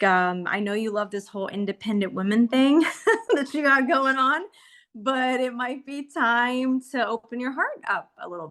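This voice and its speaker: A woman in her forties from California, sharing her experience of a course in a casual, candid way, with frequent laughs and fillers. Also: mid-range voice. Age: 40s. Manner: warm, candid, laughs often, many fillers. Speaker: course member sharing a testimonial. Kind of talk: casual testimonial on a video call.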